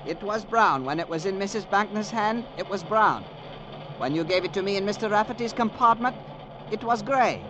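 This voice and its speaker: A nervous, deferential train conductor in a radio thriller. His voice is high for a man, anxious and very proper, over a clearly noisy old recording with a train rumble. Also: animated, moderate pace. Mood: anxious, insistent. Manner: formal, servile, anxious. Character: timid, worried, deferential male train conductor. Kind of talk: old radio drama acting.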